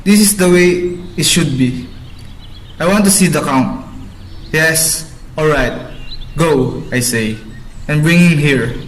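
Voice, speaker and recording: A man's voice: a little high, takes it slowly, pauses often. A man playing a blustering middle-aged father who orders his household about in a radio drama. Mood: commanding. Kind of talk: student radio drama acting. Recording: amateur recording with background noise.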